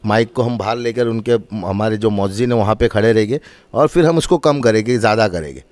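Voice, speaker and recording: A man's voice: on the low side, a little fast, a little mellow. A man gives a spoken demonstration, explaining in an emphatic, repetitive, instructive way. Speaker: mosque representative demonstrating loudspeaker equipment.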